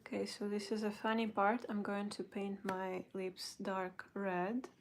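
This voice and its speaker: A woman chatting softly with viewers as she does her makeup on a livestream. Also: mid-range voice, monotone, measured pace, a little hiss or hum. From Ukraine. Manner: soft-spoken, chatting with viewers while working. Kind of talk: casual live makeup chat.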